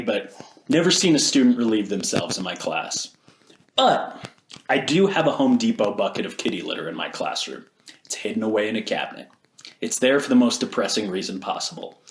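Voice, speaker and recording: An American man co-hosting a video essay with scripted narration, recorded with audible room echo. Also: on the low side. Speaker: video essay host and teacher.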